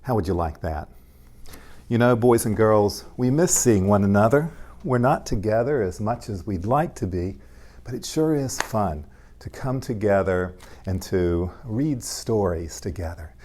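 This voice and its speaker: Low, slow-talking man reading to children. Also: expressive. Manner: gentle, simple child-directed talk with frequent questions. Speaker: pastor reading stories to children. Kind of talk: read-aloud storytime for young children.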